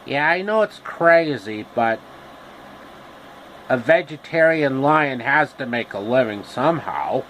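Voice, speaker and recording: A man voicing a cowardly lion from a storybook, blustering yet sheepish, with drawn-out, repetitive phrasing. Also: medium pitch, lively intonation, dull audio, slight background noise, lightly acted.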